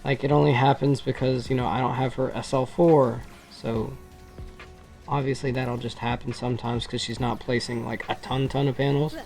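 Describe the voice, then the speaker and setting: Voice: mid-range voice, little pitch movement, moderate pace, a little mellow, faint music behind. A gaming video creator giving casual, rambling, informal commentary over gameplay.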